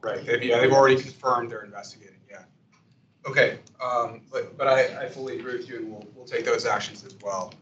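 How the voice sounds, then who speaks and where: A man's voice: medium pitch, fairly even intonation, a little fast, a bit bright, dull audio, slight background noise. A man chairing a public meeting in a conference room, courteous and agreeable, with some restarts. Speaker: commission chair. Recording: echoey room audio from a distance.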